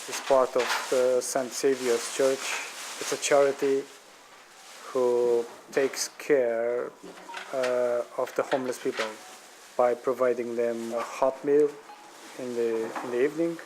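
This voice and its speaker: A man from Romania with a Romanian accent, a charity volunteer speaking haltingly about his life in the UK. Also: mid-range voice, background noise. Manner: halting, searching for words. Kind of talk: documentary testimony.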